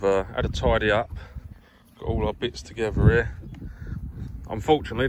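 Casting: male, 30s, Essex accent, mid-pitched, casual vlogger delivery, recorded amid loud vehicle and outdoor noise. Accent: Essex English. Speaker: vlogger, magnet fisher. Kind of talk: casual vlog chat. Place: outdoors on grass, later inside a car. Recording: heavy outdoor and car noise.

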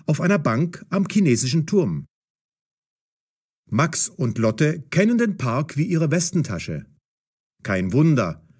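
A man's voice: a little high, very animated, slow, long pauses, a little mellow, breathy edge. A man narrating a scripted story for language learners in short, dramatic sentences.

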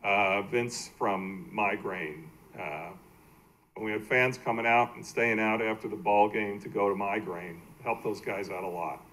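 An American man giving a slide presentation at a public meeting as a team executive, halting and plain-spoken. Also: on the low side, steady tone, a bit bright, poor audio. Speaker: baseball team executive presenting to a city council. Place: indoor council meeting room.

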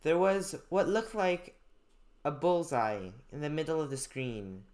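A young adult man reading a novel aloud like a student, with informal commentary and some halting stumbles. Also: on the high side, sing-song, darkish tone. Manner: haltingly stumbles over unfamiliar names. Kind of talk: read-aloud with informal note-taking commentary.

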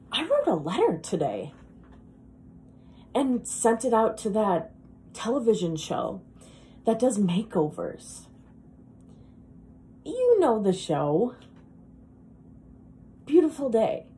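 A woman performing a dramatic monologue as a wistful, self-deprecating woman who longs to be beautiful, speaking in a halting, confessional, emphatic way. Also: fairly high pitch, huge pitch swings, dark tone, slight background noise, exaggerated voice. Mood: earnest, frustrated.

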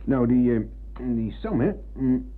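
An actor playing a forgetful old war veteran in a radio play, husky and halting as he rambles. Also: mid-range voice, expressive, lightly acted. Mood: reminiscing. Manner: hesitant, halting, peppering talk with tag questions. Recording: thin, phone-like old radio recording.

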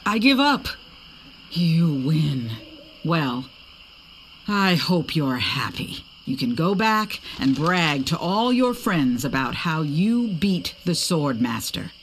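A woman playing a proud, defeated master of the sword in a video game, deliberate and begrudging. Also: sits low, sing-song, slight background noise, heavily acted, poor audio. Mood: resigned, bitter. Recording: night insect ambience behind.

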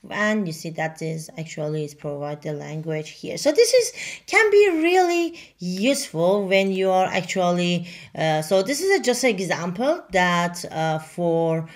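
A woman whose first language is Farsi, talking through a software demo in halting English with many fillers. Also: lowish voice, sing-song. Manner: halting, many fillers and restarts, non-native grammar. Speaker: software tutorial presenter. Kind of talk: screen-recorded software walkthrough.

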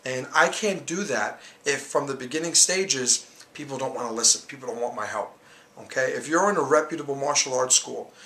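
A man's voice: medium pitch, bright, forceful tone, reverberant. A man in his thirties with a New York accent, a martial arts instructor coaching beginners straight to camera in an earnest, emphatic way. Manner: earnest, emphatic, gestures with hands. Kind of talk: direct-to-camera coaching talk. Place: small indoor studio, whiteboard behind.

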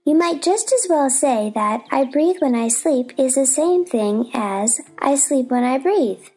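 A woman's voice: sits high, lively intonation, unhurried, mellow, breathy edge, soft background music, exaggerated voice. A woman voicing a drowsy little dormouse with a sleepy drawl in a stage play dramatization.

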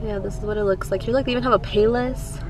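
A woman's voice: mid-range voice, fairly even intonation, fast talker, background noise. Young woman vlogger, casual on-the-go chatter to viewers, handheld camera. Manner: chatty, filler-heavy, talks to viewers and kids. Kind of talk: casual vlog narration. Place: in a car, then outdoors in a village.

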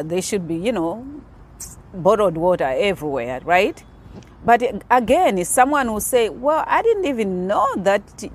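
A woman's voice: fairly low pitch, a little hiss or hum. A middle-aged woman with a Zimbabwean accent, talking to the camera on an outdoor patio in an earnest, explaining way with many fillers. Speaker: vlogger. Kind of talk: casual talk to camera.

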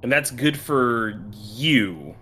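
A man's voice: medium pitch, lively intonation, extremely slow, pushed and bright, breathy edge. A man chatting casually with friends as a player in a tabletop game. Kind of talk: casual chat.

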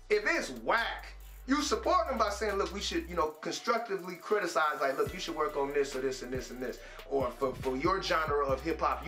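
Brief: emphatic man, mid-pitched voice, roomy echo, faint music under him. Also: pushed and bright. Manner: emphatic, repeats words. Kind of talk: documentary interview. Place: indoor room with posters.

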